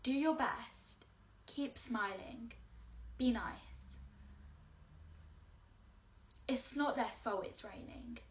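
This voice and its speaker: An actress playing a lonely schoolgirl caught in the rain, speaking quietly and reflectively in a dramatic monologue. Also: a little high, takes it slowly, highs cut off. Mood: wistful. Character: soaked lonely schoolgirl in purple coat.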